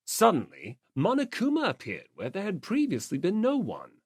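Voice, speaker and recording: A woman's voice: on the low side, wildly swooping pitch, normal speed, husky, no echo, studio quality. A woman reading scripted story narration as an audiobook narrator.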